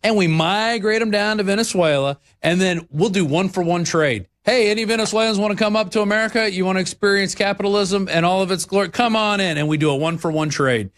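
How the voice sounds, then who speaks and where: A man hosts a call-in radio show in a voice a little higher than most men's. He sounds brisk, upbeat and conversational, keeping things moving as he brings callers on and answers them. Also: a bit bright. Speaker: radio talk show host.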